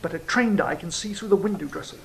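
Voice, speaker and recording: An actor playing a sly, cynical fallen angel in an audio drama, speaking in a measured, philosophical, teasing way. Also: medium pitch, creaky, fairly bright tone, a little hiss or hum. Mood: sardonic, philosophical. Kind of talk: theatrical audio drama dialogue and monologue.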